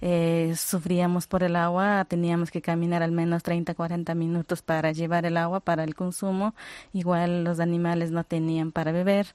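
A young woman from Ecuador, speaking as a radio guest in halting phrases with many hesitations. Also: lowish voice, level tone, darkish tone, clear, resonant tone, no echo. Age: young adult. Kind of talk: radio interview. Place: radio studio.

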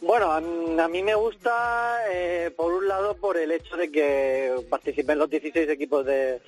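Higher-voiced Spanish man, halting sports panelist. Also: faint music behind. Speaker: radio panelist. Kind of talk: casual sports discussion. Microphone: telephone call.

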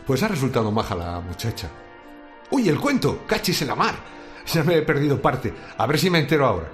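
A man's voice: medium pitch, very animated, a little fast, fairly bright tone, soft background music. An actor from a radio drama playing a kindly, grumbling middle-aged night watchman, with colloquial Spanish from Spain and a muttering manner. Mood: kindly, chatty. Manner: colloquial, muttering to himself, exasperated. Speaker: night security guard.

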